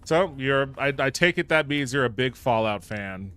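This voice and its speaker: Upbeat streamer, casual swearing. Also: male voice, medium pitch, expressive, normal speed, pushed and bright, smooth. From Japan. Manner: upbeat, swears casually, thanks viewers by name. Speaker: livestreamer. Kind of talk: casual live-stream gameplay chat.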